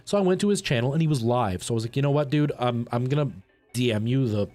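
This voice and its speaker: A male livestream host with a mid-pitched voice and music playing behind him. He chats casually with viewers, rambling in an animated, colloquial way. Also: quick pace. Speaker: live streamer and host.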